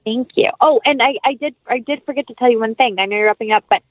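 A woman's voice: a little high, quick pace, no room sound. A woman giving a casual interview as a guest, chatty and rambling, with a self-deprecating streak. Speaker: actress, producer and interview guest. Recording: dull, lo-fi, band-limited audio.

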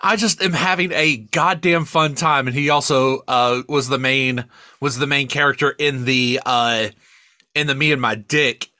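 A man's voice: medium pitch, fairly even intonation, measured pace, pushed and bright, dry recording, studio quality. A man co-hosting a podcast, chatting casually and enthusiastically about media, with plenty of swearing. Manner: profane, enthusiastic. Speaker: podcast co-host.

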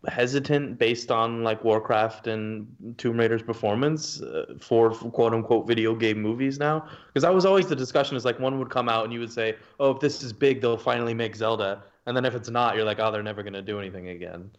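A man co-hosting a casual podcast discussion, talking earnestly and haltingly as he thinks aloud. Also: mid-range voice, highs cut off. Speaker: podcast co-host.

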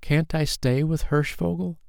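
A man's voice: fairly high pitch, fairly even intonation, unhurried, vocal fry, darkish tone, clean tone, no echo, studio quality, voice pushed up. A man voicing a small, earnest, pleading boy with a trembling voice in a children's bedtime story.

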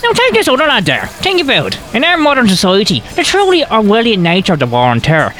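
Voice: mid-range voice, huge pitch swings, fast, fairly bright tone. An actor playing a cocky, opinionated young male student who rambles chattily in an audio drama. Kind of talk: audio fiction acting.

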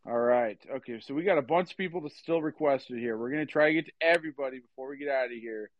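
A man's voice: mid-range voice, quick pace, dry recording. A man casually hosting a sports call-in show, chatting with callers in a loose, informal way. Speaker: host of a live call-in sports podcast. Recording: slightly dull, lo-fi audio.

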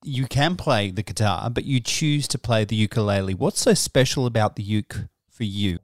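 A man hosting a radio interview, asking questions in a measured, curious way. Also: lowish voice, no echo, studio quality.

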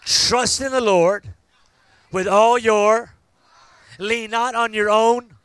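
A man, preaching a sermon and building to loud, fervent call-outs to the congregation. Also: sits high, fairly even intonation, slow, smooth, no room sound. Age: 50s. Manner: preaching with rising shouts and call-outs to the crowd. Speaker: pastor.

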